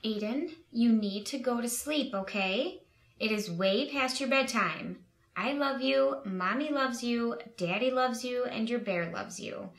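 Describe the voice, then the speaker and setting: Young babysitter woman acting, kinda high voice, sarcastic n worn out, indie short film, roomy noisy sound. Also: unhurried, fairly bright tone. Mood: coaxing, patient. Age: young adult. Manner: sarcastic, exasperated, conversational. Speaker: actress in a short horror film. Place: indoors in a house living area. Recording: echoey room with background noise.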